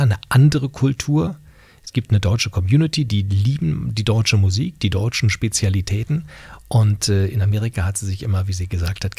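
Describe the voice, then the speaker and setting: Male radio host, middle-aged, lowish and raspy, chatting with a guest on a close mic. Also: darkish tone, no room sound. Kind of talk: conversational radio interview. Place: small radio studio. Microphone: close studio microphone on boom arm.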